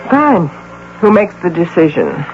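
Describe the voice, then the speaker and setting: An actress playing a polished, sharp woman agency president in a 1980 radio drama, with brisk, guarded delivery. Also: fairly low pitch, wildly swooping pitch. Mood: brisk, demanding. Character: poised, sharp, high-powered female agency president. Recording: dull, hissy old radio broadcast.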